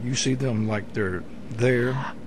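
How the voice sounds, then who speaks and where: A male interviewer asks questions in a halting way, restarting his sentences as he goes. His voice is of middle pitch, with a faint hiss in the background. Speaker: interview host.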